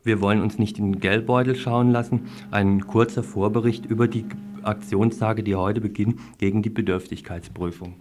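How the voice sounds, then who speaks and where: A man's voice: lowish voice, steady tone, moderate pace, hardly any pauses, darkish tone, soft background music. A man presenting scripted news commentary on the radio in long, formal sentences.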